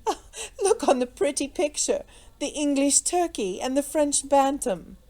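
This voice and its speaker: A woman's voice: fairly high pitch, animated, a little slow, mellow, voice pushed up. A woman voicing a merry, witty society lady with a playful, mocking manner in an audiobook. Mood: merry mockery.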